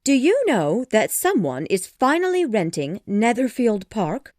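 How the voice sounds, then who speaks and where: A woman voicing an excitable, easily flustered middle-aged wife and mother in a storybook, impatient and exclamatory. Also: a little high, unhurried, dry recording, clean studio sound, voice pushed up. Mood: eager, impatient. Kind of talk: storybook dialogue acting.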